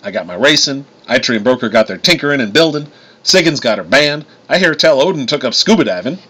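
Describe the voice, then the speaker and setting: A man's voice: medium pitch, animated, quick pace, pushed and bright, husky, voice pushed up. A man voicing a folksy, protective older-brother god who races stock cars, with a drawling Southern US accent, in an audiobook story. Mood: earnest.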